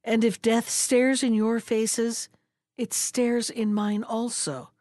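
A woman's voice: a little high, little pitch movement, slow pace, darkish tone, no room sound, studio quality. A woman voicing an old, lonely male turtle who speaks in a shaky, pleading way in a storybook reading.

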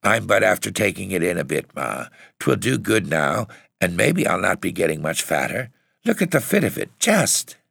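A man's voice: lowish voice, very animated, moderate pace, creaky, fairly bright tone, no echo, studio quality, voice pushed up, heavily acted. A man voicing a headstrong, earnest girl of about ten in an audiobook story. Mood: whimpering, cajoling. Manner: earnest, stubborn. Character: headstrong little Irish girl of nine or ten.

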